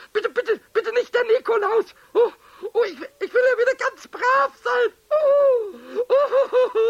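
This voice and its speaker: A man voicing a cheeky, childish little kobold in a squeaky, creaky voice, excitable and stammering, in a German children's radio play. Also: highs cut off, full character voice. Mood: frightened pleading. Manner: excitable, stammering and repeating words. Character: cheeky, childish little male kobold, mischievous, easily frightened.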